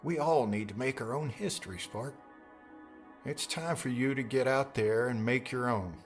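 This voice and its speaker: A man's voice: medium pitch, normal speed, natural voice. A man playing a tender, sentimental middle-aged father in an audiobook, with music underneath. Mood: wistful, tender. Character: tender, wistful father of a graduating daughter. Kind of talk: audiobook character dialogue.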